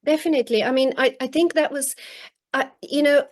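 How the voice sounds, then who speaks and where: A woman in her forties, from Bulgaria, giving thoughtful interview answers over a video call, pausing often with ums and restarts. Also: high-pitched, steady tone. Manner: halting, many restarts and ums. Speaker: guest author. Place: home in rural northern countryside.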